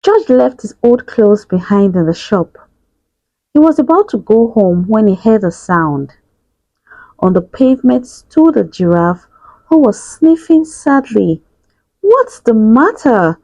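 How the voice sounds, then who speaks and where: A woman's voice: mid-range voice, big pitch swings, unhurried, dark tone, no echo, dull audio. A woman narrating a children's picture book in a read-aloud style. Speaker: storybook reader.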